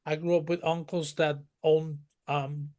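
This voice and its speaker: A man's voice: a little high, little pitch movement, measured pace, a little mellow. A man from northern Mexico, a guest telling his family history in a rambling, detailed way with many restarts. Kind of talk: conversational interview.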